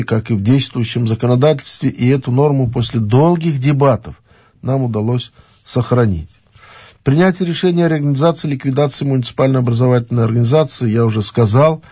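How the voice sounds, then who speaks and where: A middle-aged man speaking as a regional official in a halting official address, with frequent fillers and restarts. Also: mid-range voice, a little mellow, breathy edge, no room sound, muffled recording.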